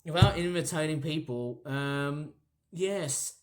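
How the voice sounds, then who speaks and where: A woman from England whose accent blends British and Australian, mostly Australian, talking in a meandering, filler-heavy vlog style as she tidies up. Her voice sits fairly low for a woman and has a slightly shrill, nasal edge. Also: slow pace. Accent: mixed British and Australian, mainly Australian. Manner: rambling, many fillers and restarts, talks while cleaning. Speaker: vlogger. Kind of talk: casual rambling vlog chat.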